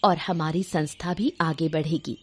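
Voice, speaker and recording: A woman's voice: low-pitched, steady tone, unhurried, slightly rough. A woman playing a sensible, determined, educated young village woman in a cartoon story, polite and composed. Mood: purposeful. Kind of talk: Hindi cartoon story acting.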